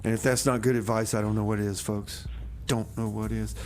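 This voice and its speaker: A man's voice: lowish voice, little pitch movement, brisk, darkish tone, slight background noise. A male radio host with a folksy, rambling manner, bantering between songs and comedy bits. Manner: folksy, rambling, repeats phrases.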